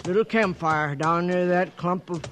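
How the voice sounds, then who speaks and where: An actor playing a watchful, suspicious American cowhand sidekick with plain, folksy talk in a radio western. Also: male voice, high voice, a little slow. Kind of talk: 1950s radio western acting. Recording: noisy old radio broadcast.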